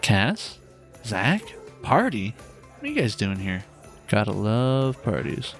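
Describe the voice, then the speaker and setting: Man playing an eerie low-voiced storyteller. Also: big pitch swings, slow, heavily acted. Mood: casual, inviting. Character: eerie male storyteller at a spooky party. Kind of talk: audio horror anthology acting. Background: music.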